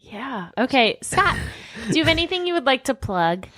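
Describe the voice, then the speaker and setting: A woman's voice: high voice, very animated, moderate pace, bright, forceful tone, dry recording. A woman co-hosting a comedy podcast in casual chat, playful and self-deprecating, with some swearing.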